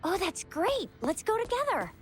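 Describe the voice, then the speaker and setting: A woman playing a bubbly, chatty, eager young female patroller in a video game, speaking in an excitable, informal way. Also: very high-pitched, normal speed. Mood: excited, relieved. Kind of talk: video game character voice acting. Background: background game music.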